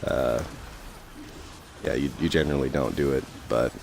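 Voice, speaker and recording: A young man commentating his gameplay in a casual, rambling way full of fillers, his voice a little raspy from talking so long. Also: extremely low pitch, fairly even intonation, normal speed, a little mellow, a little hiss or hum. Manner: rambling, many fillers and restarts.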